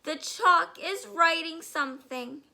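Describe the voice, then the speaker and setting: A small boy in a storybook screams in shrill hysterics, as a woman puts him on. The voice leaps very high and loud, bright and piercing. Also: slow, full character voice. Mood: hysterical. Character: hysterical little schoolboy, shrill and excited.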